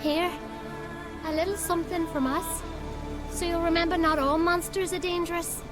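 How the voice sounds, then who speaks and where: Tiny, very high-pitched gentle creature. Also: female voice, steady tone, normal speed. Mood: rueful. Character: small, gentle, childlike creature, trusting and fair-minded. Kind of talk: video game cutscene acting. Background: music.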